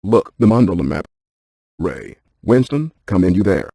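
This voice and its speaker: An actor playing a brainy, cautious paranormal scientist in a video game, speaking in a deadpan, precise, technical manner. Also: male voice, deep voice, normal speed, creaky, slightly rough, no room sound, clean studio sound, exaggerated voice. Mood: urgent. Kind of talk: scripted video game character lines.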